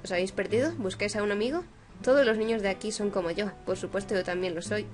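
A woman with an accent from Spain voicing a dazed, gentle young man from a game, talking softly to himself. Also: mid-range voice, fast, faint music behind, pitched below the performer's own voice, close to an everyday voice. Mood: eerily welcoming. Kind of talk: reading game dialogue aloud.

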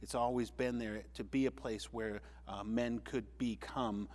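A man speaking earnestly on a panel discussion, measured, with frequent restarts. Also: mid-range voice, steady tone, a little mellow, a little hiss or hum.

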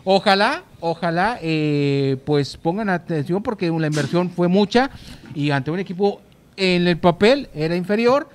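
A man co-hosting a sports show, giving a news round-up in a halting, hesitant way with repeated words. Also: high voice, expressive, a little hiss or hum. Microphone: handheld microphone, masked speaker.

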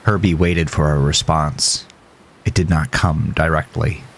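A man's voice: a bass voice, steady tone, measured pace, slight background noise. A man reading scripted, dramatic horror narration as a storyteller.

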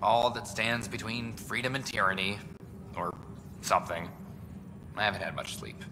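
A weary but eager reporter in a space game, played by a man, pitching his work with quick enthusiasm. A long reverb hangs on his voice, and a clear ambient hum runs behind. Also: natural voice. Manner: eager, fast-talking, a little weary. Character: tired, eager male news reporter. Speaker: news reporter.

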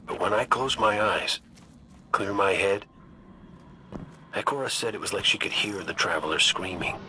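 A man's voice: on the low side, normal speed, pushed and bright, a little hiss or hum. A man playing a cocky gunslinger robot in a video game, talking in a wry, folksy, colloquial way. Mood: determined. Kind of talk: scripted video game dialogue.

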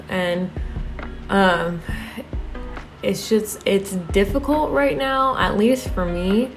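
Young female vlogger, slow, slightly raspy, hesitant, echoey home room. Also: medium pitch. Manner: halting, many fillers and restarts. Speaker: art vlogger. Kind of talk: casual confessional talk. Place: home studio room with desk and monitors.

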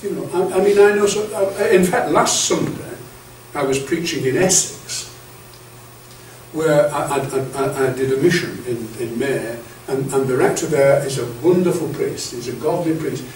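A man's voice: fairly high pitch, normal speed, a little mellow. Older man lecturing in an echoey room. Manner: chatty, anecdotal, many restarts and stammered repeats. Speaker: bishop leading clergy training. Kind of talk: lecture to clergy. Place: classroom-sized meeting room with projector screen. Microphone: far camera. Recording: echoey room, far from the mic, faint hiss.

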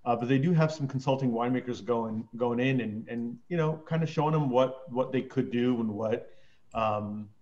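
A man talking casually as a panelist, explaining in a halting way with many pauses and trailing phrases. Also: mid-range voice, darkish tone. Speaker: panelist, wine expert. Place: remote virtual panel call. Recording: dull, lo-fi call audio.

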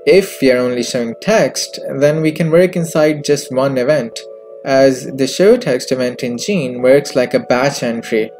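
A man presenting a software tutorial in scripted narration, recorded as a close voice-over with music behind him. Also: medium pitch, measured pace. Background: background music.

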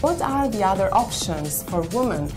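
A woman in her thirties hosting a fashion segment in a bright studio, upbeat and full of compliments. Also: medium pitch, expressive, moderate pace. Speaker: fashion show host. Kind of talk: presenting and interviewing. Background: background music.

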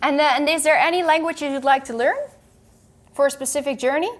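A woman's voice: sits high, steady tone, brisk. A woman in her thirties hosting an interview, reading out questions in a friendly way from a studio desk.

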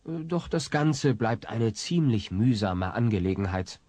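A man's voice: on the low side, lively intonation, measured pace, darkish tone. A man narrating a sitcom audio drama from a script in a wry way.

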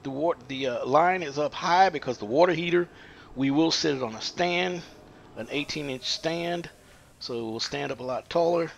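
A man working as a plumber, explaining a pipe installation in an unfinished house, pausing often with fillers. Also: fairly high pitch, vocal fry, slight background noise. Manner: halting, many "uh" fillers. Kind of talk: hands-on how-to explanation. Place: unfinished house under construction, indoors.

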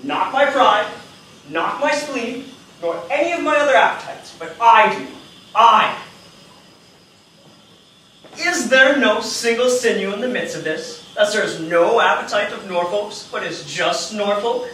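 A man playing a principled, unbending English statesman in a period stage play, with measured, declamatory delivery. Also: sits high, lively intonation, sharp tone, heavily acted. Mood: impassioned. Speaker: stage actor. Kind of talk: theatrical period stage acting. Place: large theatre stage, indoors. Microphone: distant camera in the audience. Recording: echoey theatre from far off, background noise.

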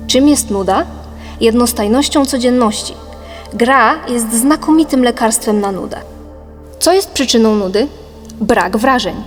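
A woman reading a scripted philosophical audiobook in a measured, didactic manner. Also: a little high, natural voice. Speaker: audiobook narrator. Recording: long reverb, music behind. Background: music.

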